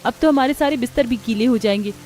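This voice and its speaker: A woman as a meek, high-voiced young bride. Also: fast, a little mellow, natural voice. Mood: anxious, pleading. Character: meek, patient young new bride, pleading and dutiful. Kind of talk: dramatized Hindi moral story cartoon.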